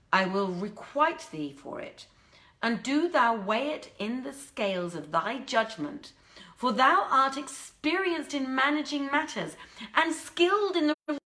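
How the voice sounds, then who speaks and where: A woman's voice: high voice, pushed and bright, voice pushed up, exaggerated voice. A woman voicing a lovesick young prince in a storybook reading, with impassioned, archaic declamation. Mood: earnest, pleading. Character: lovesick young prince, tearful, fervent and desperate.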